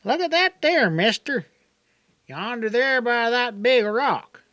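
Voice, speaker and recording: A man's voice: very high-pitched, expressive, slow, sharp tone, dry recording, voice pushed up, heavily acted. A man voicing a talkative, naive young backwoods native in an audiobook, with a rural Southern accent and a folksy, rambling drawl. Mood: excited, spooked. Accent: rural Southern hillbilly. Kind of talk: audiobook character dialogue.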